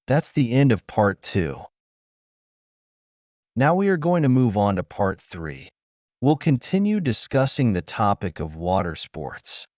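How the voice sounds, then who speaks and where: A man acting as examiner, reading out speaking-test questions and channel notes in a formal, measured way. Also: fairly low pitch, very animated, darkish tone, no echo, studio quality. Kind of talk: scripted exam questions.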